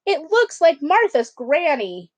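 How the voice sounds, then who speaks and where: A woman voicing a dismayed, excitable old man from a picture book in a theatrical, exclaiming way. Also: sits high, slow, pitched above the performer's own voice, exaggerated voice. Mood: indignant.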